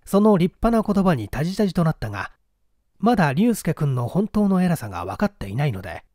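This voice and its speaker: A man's voice: fairly high pitch, very animated, a little fast, a little mellow, dry recording, studio quality. A man narrating a mystery story from a script as an audiobook reader.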